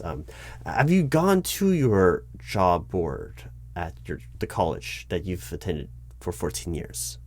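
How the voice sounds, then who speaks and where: A man playing a patient, probing hiring manager in a one-sided interview roleplay, speaking aloud in a halting, improvised way and repeating words. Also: low voice, a little hiss or hum. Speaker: job interviewer. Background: computer keyboard typing.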